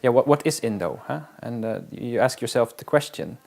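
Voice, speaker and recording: Man, gravelly creaky voice, middle pitch, halting, reflective interview talk. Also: a little mellow. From Netherlands. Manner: halting and reflective, with frequent restarts. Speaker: interviewee, wilderness guide.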